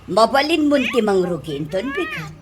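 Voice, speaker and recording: Woman playing an animated Ilocano auntie boss. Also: a little high, normal speed, noisy background. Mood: businesslike. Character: older auntie restaurant boss, stern but kindly. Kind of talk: Ilocano comedy radio drama acting. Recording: music bed under radio drama dialogue.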